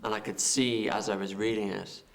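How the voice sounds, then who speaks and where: Low gravelly Irish man. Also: little pitch movement, low-quality recording. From Ireland. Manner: halting, repeats words while thinking. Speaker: film director. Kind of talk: interview answers.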